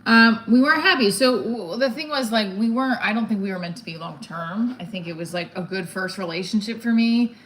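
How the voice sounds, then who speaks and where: A woman's voice: mid-range voice, a little fast, few pauses, fairly bright tone. A woman in her forties chatting casually on a livestream, blunt and candid, rambling and often breaking off mid-thought. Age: 40s. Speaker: stand-up comedian livestreamer. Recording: roomy echo, some background noise.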